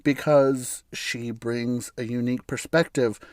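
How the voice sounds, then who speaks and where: A hoarse, raspy man of middle pitch talks through his views in a casual solo podcast, chuckling as he goes and often backing up to restart a sentence. He is from the United States, and his voice sounds close and dry, with little room sound. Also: fairly even intonation, a little slow, a little mellow, dry recording. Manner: rambling and opinionated, with frequent self-restarts and chuckles. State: hoarse from bronchitis. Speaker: podcast host.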